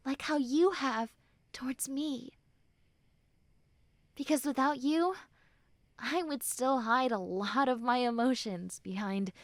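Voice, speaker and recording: A high, young female voice acted by a woman in an intimate, dry roleplay recording. She is a tough tsundere friend letting her guard down. She speaks slowly and earnestly, with hesitant pauses and soft, tender reassurance. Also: dry recording. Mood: tender, comforting. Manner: earnest, hesitant, soft, often dropping to breathy near-whispers. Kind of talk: intimate roleplay audio acting.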